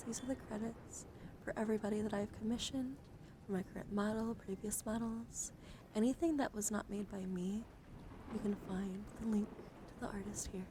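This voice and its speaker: A woman hosting an ASMR livestream, chatting casually and gently to viewers in a soft, breathy voice that often drops to a whisper, affectionate and attentive. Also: mid-range voice, noisy background. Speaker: livestream host.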